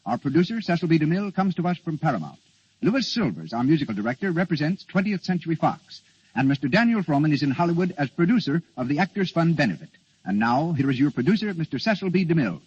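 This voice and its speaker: Lively, husky vintage radio announcer man. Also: a little high, quick pace. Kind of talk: formal 1930s radio announcing. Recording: dull, lo-fi vintage radio broadcast.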